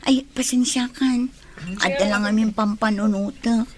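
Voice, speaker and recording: High-pitched, troubled young Filipina played by an actress. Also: female voice, fairly even intonation, unhurried, crowd noise, lightly acted. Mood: flustered. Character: troubled young single woman hiding heartache, evasive and polite. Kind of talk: Filipino radio drama acting. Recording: noticeable background noise.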